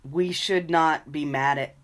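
A young woman co-hosting a casual podcast, her voice raspy from a cold, talking frankly and laughing often. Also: low-pitched, fairly even intonation, unhurried. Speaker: podcast co-host. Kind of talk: casual chat.

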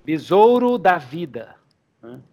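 A man's voice: high voice, big pitch swings, takes it slowly, dark tone. A young man, running a tabletop game as game master and improvising the story as he goes, often with a chuckle.

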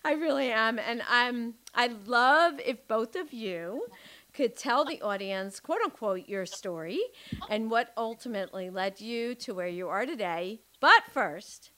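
A woman's voice: on the high side, lively intonation, unhurried, bright, forceful tone. A woman hosting a podcast interview, effusive and rambling, with many restarts. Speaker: podcast host. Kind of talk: casual interview chat.

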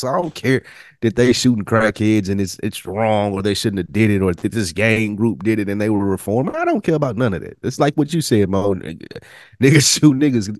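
A man's voice: on the low side, a little mellow, no echo, clean studio sound. A man with an American accent co-hosting a podcast, giving blunt, profane opinions in a casual TV discussion. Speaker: podcast co-host.

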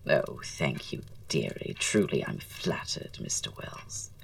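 Casting: female actor as flamboyant Victorian gentleman playwright, witty and teasing, strikingly deep, with lively swooping intonation, for audio drama. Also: takes it slowly, vocal fry, recorded from a distance, heavily acted, rough recording. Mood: arch, flattered. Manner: theatrical, drawling, arch, florid wording.

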